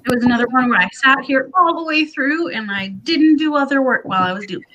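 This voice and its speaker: A woman's voice: high voice, fast, lo-fi sound, a crowd in the background. A woman hosting a video call from home, talking in an upbeat, chatty way. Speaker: host. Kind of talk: casual video call chat.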